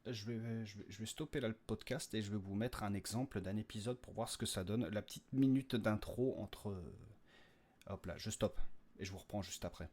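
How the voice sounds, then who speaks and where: A man with a fairly low voice chats as a podcast creator. His talk is informal and rambling, with a joking, slangy manner, as if speaking straight to listeners. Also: moderate pace, a little mellow. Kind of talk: casual, off-the-cuff update to listeners.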